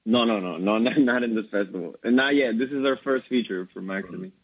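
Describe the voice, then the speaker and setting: A man, a documentary filmmaker, answering interview questions hesitantly with many pauses. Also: lowish voice, slightly rough, no room sound, narrow, tinny audio.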